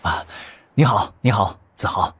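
A man's voice: on the low side, measured pace, a bit bright, breathy edge, exaggerated voice. A man voicing a deferential underworld henchman in an audiobook, polite, hesitant and placating. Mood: fawning politeness.

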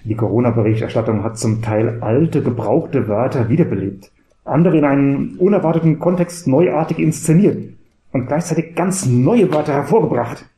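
A man playing an articulate, bookish teacher in a cabaret audio play, measured, wry and wordy. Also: mid-range voice, expressive, warm tone, roomy sound, lightly acted.

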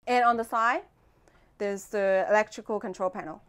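A woman's voice: medium pitch, steady tone, normal speed, clear, resonant tone. A young woman giving a casual guided tour as a host, speaking into a clip-on mic inside a small boat cabin. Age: young adult.

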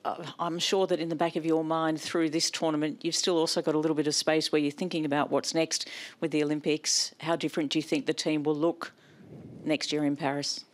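Woman, reporter, very low pitch, direct questions, press conference. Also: level tone, brisk.

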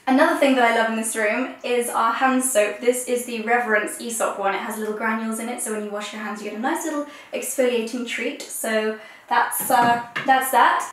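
A young English woman with a fairly high voice presents a chatty home tour. She talks informally to a camera a few steps away, so the sound is echoey and a little distant. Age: young adult. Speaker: lifestyle vlogger and host. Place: rooms of a house, indoors. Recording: echoey rooms, distant mic, some noise.

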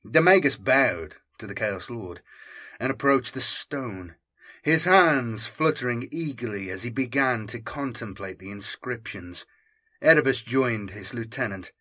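A man narrating a dark science fiction audiobook in a dramatic, theatrical and ominous manner. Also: medium pitch, lively intonation, measured pace.

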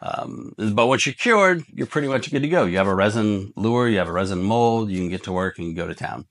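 A man's voice: on the low side, expressive, brisk, fairly bright tone. A man in his forties hosting a review video, giving casual, blunt product advice in colloquial language, often checking in with a tag question. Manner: blunt, colloquial, often tags points with "right?". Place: indoor workshop room with shelves of parts.

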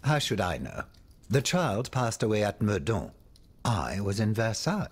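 Husky, theatrical French aristocrat. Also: male voice, lowish voice, big pitch swings, a little slow, full character voice. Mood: grave, helpful. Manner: theatrical, courtly, swinging between exclamation and confiding murmur. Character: urbane male French aristocrat, worried, wry, world-weary. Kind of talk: dramatic video game dialogue.

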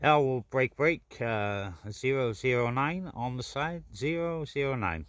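A man with a medium-pitched voice talks casually to his viewers in an outdoor radio hobby vlog. He is off screen, speaking towards a camera mic in a garden. The recording is heavily noisy, with outdoor ambience and radio hiss behind him. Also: animated, a little slow. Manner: informal, addresses viewers as guys. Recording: loud outdoor noise, radio static. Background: outdoor ambience and CB radio noise.

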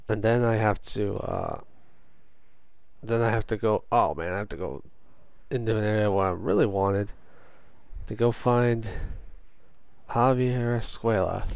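A young man with a hoarse voice chatting casually over his gameplay as a gaming host, swearing when things go wrong. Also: fairly low pitch, measured pace, pauses often, darkish tone, no echo. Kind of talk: casual let's-play commentary.

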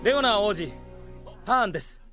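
Earnest young knight, animated delivery. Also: male voice, sits high, a little fast, soft background music. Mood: dutiful. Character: earnest young male knight, steady and respectful. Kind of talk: anime audio drama acting.

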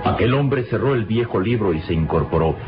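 A man's voice: on the low side, animated, fairly quick. A man narrating a scripted radio serial in a solemn, literary, dramatic way. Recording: muffled old radio recording, loud music.

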